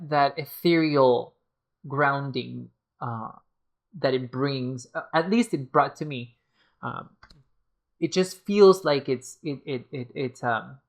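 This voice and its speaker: Woman doing a chill review vid, lots of ums and restarts, voice going a bit, kinda gravelly. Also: extremely low pitch. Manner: hesitant, many fillers and restarts. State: losing her voice. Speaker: tarot deck reviewer. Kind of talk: casual video review.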